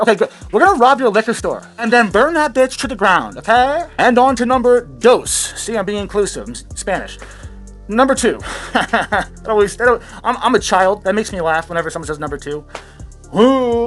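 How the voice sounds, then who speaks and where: A man hosting a satirical commentary show, ranting in a sarcastic, rambling way, swearing freely and amusing himself. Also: high voice. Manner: sarcastic, rambling, self-amused, swears a lot. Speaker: host of a satirical commentary channel. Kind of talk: profane satirical rant.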